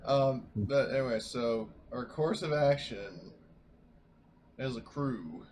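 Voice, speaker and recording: A man's voice: mid-range voice, animated, slow pace, darkish tone. Male gamer, mid-range voice, conversational group planning, faintly noisy recording. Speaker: tabletop roleplaying game player. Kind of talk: casual group discussion.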